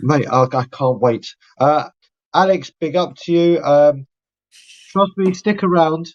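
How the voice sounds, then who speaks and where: Croaky man hosting a podcast. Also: a little high, measured pace. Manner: chatty, rambling shout-outs. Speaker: fan podcast host. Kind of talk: live fan podcast chat. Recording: dull lo-fi audio.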